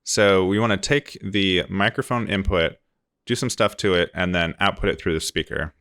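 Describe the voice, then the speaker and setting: Male tutorial presenter, low register, informal and easygoing, explanatory, recorded close and dry. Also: normal speed, a bit bright, dry recording. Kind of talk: casual screen-recorded software tutorial.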